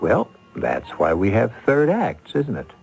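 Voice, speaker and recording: A man hosting a radio drama with scripted theatrical narration, urbane and wry, in measured phrases. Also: mid-range voice, huge pitch swings, creaky, darkish tone, faint music behind.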